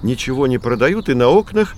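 A man's voice: medium pitch, very animated, fairly quick, a little hiss or hum, close to an everyday voice. A man playing a kindly, patient professor explaining things to children in a scripted educational audio play. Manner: instructive, encouraging.

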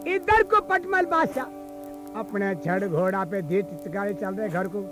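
A man's voice: sits high, animated, measured pace, over music. A man performing a folk tale as a traditional storyteller, moving between sung verse and spoken narration. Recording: field cassette recording with instrument accompaniment. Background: musical instrument accompaniment.

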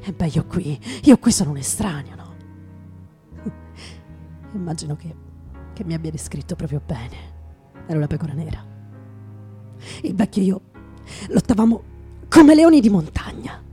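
A woman playing a hard-drinking male poet, bitter and sardonic, in a halting theatrical radio monologue that drops into whispers. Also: on the low side, very animated, dark tone. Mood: bitter, wry. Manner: halting, dramatic, repeats words, drops into whispers. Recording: music behind the voice.

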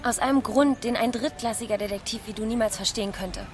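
An actress playing a haughty, secretive young student in a noir radio drama, cool, scornful and defiant. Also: female voice, a little high, fast, over music, lightly acted.

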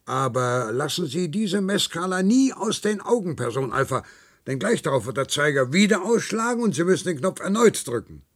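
An actor playing a meticulous, controlling male instructor in a science-fiction radio play, didactic and condescending, with a husky, creaky voice. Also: on the high side, very animated. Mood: instructing, insistent. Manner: didactic, hesitant pauses, condescending.

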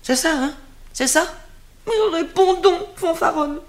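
An actress voicing an old royal nurse who scolds and dotes on her charge, in a stage drama. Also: moderate pace. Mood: scolding. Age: older. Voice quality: lively scolding voice, swinging high and low.

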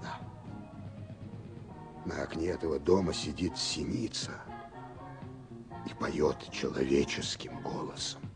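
An actor playing a weary, enigmatic elderly working man, musing slowly in a 1970s Soviet radio play. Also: very deep voice, full character voice. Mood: dreamy, resigned. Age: older. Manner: brooding, slow to answer, musing. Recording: 1970s radio recording with music behind. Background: music.

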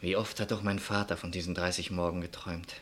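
An actor in a German radio drama playing a modest, dreamy young medical student turned soldier, earnest and courteous. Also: male voice, low-pitched, flat delivery, fast talker, fairly bright tone. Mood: melancholic, dreamy. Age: young adult.

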